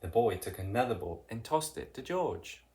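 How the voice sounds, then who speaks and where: A narrator reading a children's picture book aloud in an expressive, deliberate way with dramatic emphasis. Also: mid-range voice. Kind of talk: read-aloud children's storytelling.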